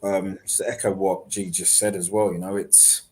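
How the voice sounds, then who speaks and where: Laid-back low-voiced man on a podcast. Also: moderate pace. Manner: relaxed, hesitant with fillers. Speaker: podcast co-host. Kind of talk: casual football podcast chat.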